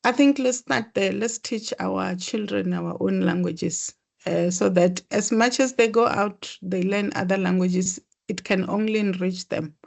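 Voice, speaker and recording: A South African woman on a webinar panel, speaking in measured, earnest short phrases. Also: medium pitch, vocal fry, darkish tone. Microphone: video call.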